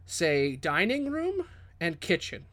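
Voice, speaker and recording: A man's voice: a little high, wildly swooping pitch, takes it slowly, fairly bright tone. A man acting as game master, improvising dramatic second-person scene descriptions for a tabletop game.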